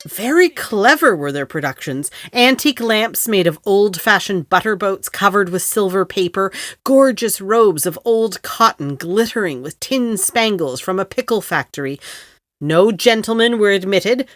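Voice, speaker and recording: A woman playing a genteel mother who narrates an audio drama in a composed, measured storytelling manner. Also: medium pitch, expressive, dry recording, studio quality, close to an everyday voice.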